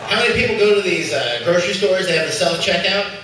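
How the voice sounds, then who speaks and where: A man doing a stand-up comedy set in a loose style, pausing with "uh" between jokes. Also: high voice, expressive, distant mic, a crowd in the background. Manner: loose joke-telling with frequent "uh" pauses. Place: comedy club stage. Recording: echoey noisy club room from afar.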